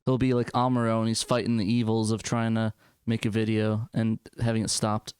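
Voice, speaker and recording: A man's voice: lowish voice, completely flat delivery, brisk, darkish tone, smooth, dry recording, clean studio sound. A man chatting casually and informally on a panel show.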